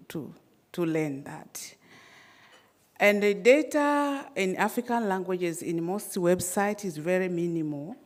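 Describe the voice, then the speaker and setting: Slow, low-voiced woman from Tanzania, first language Swahili; academic conference speaker, measured and hesitant, many fillers. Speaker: conference presenter. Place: conference hall.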